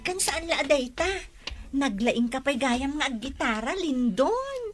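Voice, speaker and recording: A woman's voice: high voice, very animated, unhurried, faint music behind. A woman playing a friendly teenage schoolgirl in a Filipino radio drama, with a Filipino accent. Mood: friendly, admiring.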